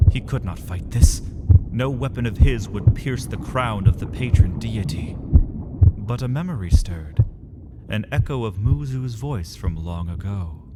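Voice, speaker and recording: A man narrating a fantasy audio drama from a script in a solemn, intense storytelling manner. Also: on the low side.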